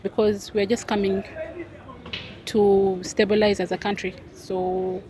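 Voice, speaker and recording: Young woman, Arabic-English, measured and reflective interviewee. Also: medium pitch, little pitch movement, warm tone, low-quality recording. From South Sudan. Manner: thoughtful, unhurried, with pauses and fillers. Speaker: street interviewee. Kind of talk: casual interview answers.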